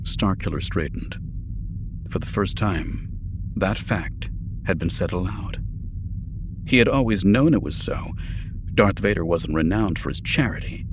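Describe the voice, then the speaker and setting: A man narrates an audiobook in a low-pitched voice. His reading is measured, serious and polished, with a dramatic storytelling style. Clear ambient effects and rumble run behind the voice. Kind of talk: dramatic scripted narration of science fiction.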